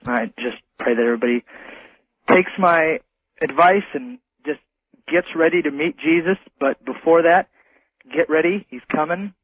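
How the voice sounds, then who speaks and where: A nervous American man calling in to a radio show, speaking earnestly and hesitantly in short halting phrases. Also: a little high, slightly rough, no room sound. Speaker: phone-in caller to a radio show. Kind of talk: earnest religious warning in casual phone talk. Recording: muffled narrow telephone line on radio.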